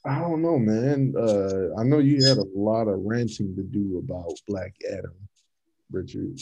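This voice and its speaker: Host, male, low mellow voice, halting with fillers, lo-fi recording. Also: vocal fry. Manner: halting, many fillers and restarts. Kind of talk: casual chat.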